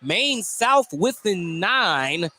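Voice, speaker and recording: An American man doing live radio play-by-play of basketball, with clipped running descriptions and drawn-out calls on baskets. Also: high voice, very animated, sharp tone. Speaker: play-by-play commentator. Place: courtside in a basketball gym. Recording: some noise, gym ambience.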